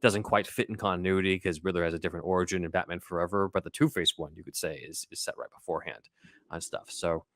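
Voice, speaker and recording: A man with a low, crisp-edged voice hosts a podcast. He explains things casually and conversationally, in a very dry recording. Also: fairly even intonation, fast, a bit bright, no echo. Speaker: podcast host.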